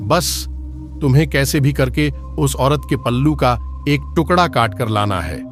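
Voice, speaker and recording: A man playing a grave village priest giving instructions in a cartoon story, solemn and measured. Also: mid-range voice, animated, lightly acted. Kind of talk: Hindi cartoon story acting. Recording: faint music behind.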